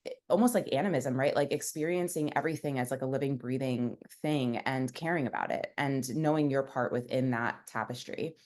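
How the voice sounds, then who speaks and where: A woman's voice: a bass voice, brisk, a little mellow. A young woman, a founder, telling her story in a casual interview, rambling with many fillers. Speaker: founder and guest.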